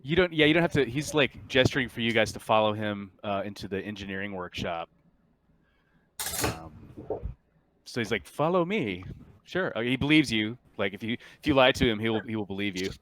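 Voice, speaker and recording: Man, lowish pitch, game master, casual, hesitant phrasing, tabletop narration. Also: a little fast, background noise.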